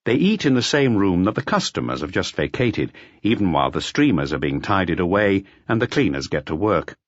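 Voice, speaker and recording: A man's voice: low voice, big pitch swings, fairly quick, no echo, dull audio. A man reading a detective novel aloud as a scripted audiobook narration.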